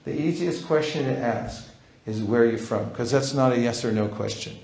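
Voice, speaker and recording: A man's voice: medium pitch, moderate pace, a little mellow. A man leading a live seminar, teaching with a playful manner and acting out short dialogues. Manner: playful, acts out little dialogues. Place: large hall. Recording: roomy hall sound.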